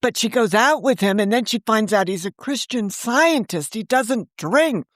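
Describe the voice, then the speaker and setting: A woman's voice: on the high side, normal speed, no room sound. Chatty 60s lady, novelist guest on a call, dry close sound, keeps cutting in. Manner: chatty, animated, talks over the host. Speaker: novelist and podcast creator, guest. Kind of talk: casual interview chat.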